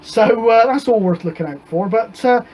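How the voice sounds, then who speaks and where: Casting: British man, high voice, casual hobby presenter, hesitant and wandering delivery, some background noise. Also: moderate pace, a little mellow. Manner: hesitant, rambling, informal. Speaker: amateur radio hobbyist presenting antenna tests. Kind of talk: casual explanatory vlog.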